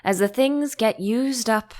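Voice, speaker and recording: A woman's voice: on the high side, a little slow, darkish tone, natural voice. A woman voicing an eccentric male hatter, theatrical and emphatic, by turns contemptuous and mournful, in a storybook reading. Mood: matter-of-fact.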